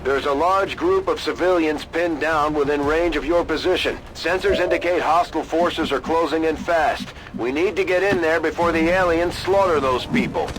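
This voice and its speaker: Actor as commanding military officer amid loud gunfire. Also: male voice, a little high, normal speed, hardly any pauses, noisy background, lightly acted. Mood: grave, commanding. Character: stern military officer briefing troops by radio. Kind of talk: urgent scripted video game mission briefing.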